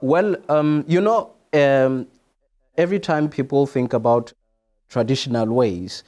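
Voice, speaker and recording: A young South African man, a native Sotho speaker, answers interview questions conversationally in a halting, explanatory way, repeating points for emphasis. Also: mid-range voice, a little mellow. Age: young adult. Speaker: podcast guest, international student.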